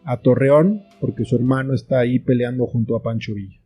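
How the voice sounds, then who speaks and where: A man's voice: medium pitch, fairly quick, mellow, slightly rough, soft background music. A man with a Mexican accent giving reflective, explanatory answers as an interview guest in a conversational style. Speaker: interview guest, screenwriter and author.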